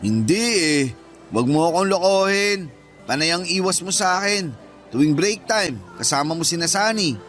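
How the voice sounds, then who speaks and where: A wounded young Filipino bagger in a radio drama. His voice is notably high for a man, and he presses his friend with insistent, accusing questions. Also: animated, moderate pace, a bit bright, faint music behind. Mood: suspicious, confrontational. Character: hurt, confrontational young male supermarket bagger, close friend.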